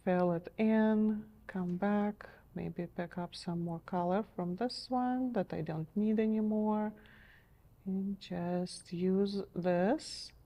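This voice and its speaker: A middle-aged woman teaching a step-by-step painting lesson in a TV studio, gentle and encouraging, her voice a little strained as she is losing it. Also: mid-range voice, fairly even intonation, takes it slowly. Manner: gentle, encouraging, checks in with "okay?". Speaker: painting instructor.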